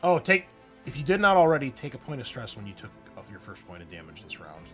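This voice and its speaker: A man's voice: mid-range voice, big pitch swings, quick pace, bright, forceful tone. A man running a tabletop roleplaying game as game master, switching between dramatic narration and casual rulings. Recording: music behind the voice.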